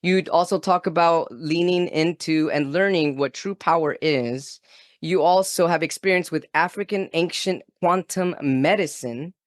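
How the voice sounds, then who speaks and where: A man's voice: on the high side, no room sound, studio quality. A man hosting a podcast, effusive and enthusiastic, repeating questions and praising his guest. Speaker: podcast host. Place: remote, in California.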